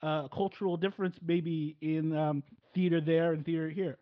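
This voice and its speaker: Male podcast host, high-pitched, stumbling and rambling, dry lo-fi recording. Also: fairly even intonation, no room sound. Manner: rambling, halting questions with many restarts. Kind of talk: interview podcast hosting. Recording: dry, dull lo-fi audio.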